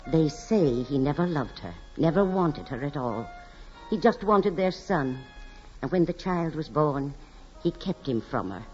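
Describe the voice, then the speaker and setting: A foreboding housekeeper in a 1940s radio drama. She speaks in a deep female register, grave and deliberate, like someone passing on dark gossip. The sound is dull and dated. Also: animated, soft background music. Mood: ominous.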